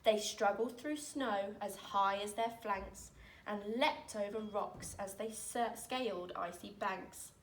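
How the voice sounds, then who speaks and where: A woman reading a rhyming children's picture book aloud in an expressive, dramatic way, with deliberate phrasing. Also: mid-range voice, a little hiss or hum. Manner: dramatic, deliberate phrasing with emphatic swells and hushes. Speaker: storyteller reading aloud, teacher. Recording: roomy echo, distant microphone.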